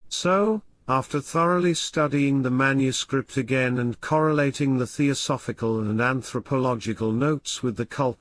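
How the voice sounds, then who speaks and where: Formal man narrating a horror tale. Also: medium pitch. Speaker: audiobook reader.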